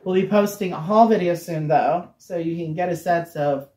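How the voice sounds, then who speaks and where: Raspy, hoarse woman chatting casually. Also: lowish voice, moderate pace, mellow, echoey room. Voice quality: raspy, recovering voice. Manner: chatty, laughs often, rambling asides. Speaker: co-host. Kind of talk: casual chat.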